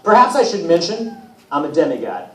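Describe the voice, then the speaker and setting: A man reading aloud as a wry, put-upon teenage boy demigod, telling his own story with self-deprecating, deadpan humour. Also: on the high side, brisk. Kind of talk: first-person storybook reading. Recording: echoey room from far off, hissy noise.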